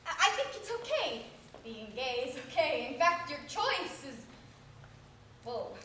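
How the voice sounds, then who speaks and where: A woman's voice: high-pitched, a bit bright, a little hiss or hum. A woman performing a comic monologue as a flustered young woman who rambles, stumbles over her words and laughs at herself. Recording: very echoey room.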